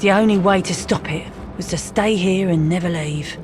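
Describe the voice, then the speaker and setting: An actress playing a tough, knowing scavenger in a video game, speaking in a matter-of-fact, wry way. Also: female voice, on the low side, normal speed, close to an everyday voice. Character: tough, knowing female post-apocalyptic scavenger. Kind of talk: video game character acting. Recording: music under the voice.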